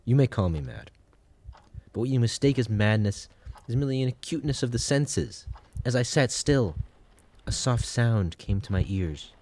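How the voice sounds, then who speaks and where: An actor playing an obsessive madman who confesses to murder in intense, theatrical gothic narration, swinging between hushed and loud delivery. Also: mid-range voice, expressive, moderate pace, lightly acted. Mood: furious, then ominous. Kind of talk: dramatic first-person gothic narration, audio drama.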